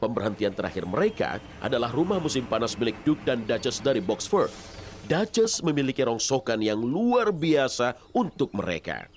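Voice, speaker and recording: A man narrating a scripted children's story in an expressive storyteller's manner. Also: medium pitch, normal speed, slight background noise. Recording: loud music behind.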